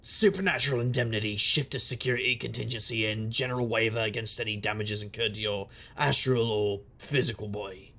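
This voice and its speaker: An actor playing a wry, sardonic supernatural private investigator who narrates in conversational noir style. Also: male voice, fairly low pitch, steady tone, fairly quick, hardly any pauses, pushed and bright. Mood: dry, businesslike. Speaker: narrator and lead character. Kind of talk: noir first-person scripted narration.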